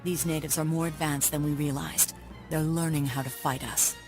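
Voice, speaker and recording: Gravelly Jedi master, as an actor plays. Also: medium pitch, fairly even intonation, moderate pace, over music, close to an everyday voice. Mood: serious. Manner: serious, cautioning.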